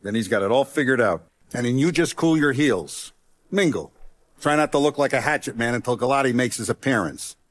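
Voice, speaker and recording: A man's voice: mid-range voice, animated, no room sound, natural voice. Guy playing a hardened mob boss from a video game, commanding, calculating, streetwise, dry close sound. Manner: deliberate, authoritative, streetwise gangster talk. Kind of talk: video game character acting.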